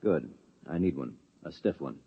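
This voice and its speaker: A man's voice: extremely low pitch, animated, normal speed, breathy edge, soft background music, full character voice. A man playing a curious, wry male government official in a 1950s radio drama, asking probing questions. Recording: thin tinny 1950s radio broadcast.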